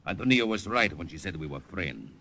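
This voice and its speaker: A gravelly partisan. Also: male voice, low-pitched, big pitch swings, brisk, sharp tone, heavily acted. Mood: conspiratorial. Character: gravelly-voiced Italian partisan man.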